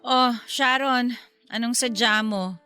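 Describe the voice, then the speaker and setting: A woman playing a firm, reasonable middle-aged mother in a radio drama, with a Filipino accent and a measured, reproachful delivery. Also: a little high, sharp tone. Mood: weary, hurt. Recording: music behind the voice. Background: background music.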